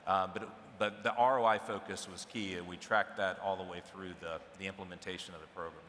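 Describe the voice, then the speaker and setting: A middle-aged man on a conference stage explains his approach with easy restarts and fillers. His voice sits low yet has a bright edge. It carries through a large, reverberant hall with faint room ambience behind it. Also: steady tone, fairly quick, slight background noise. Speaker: procurement executive panelist. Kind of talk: conference panel discussion.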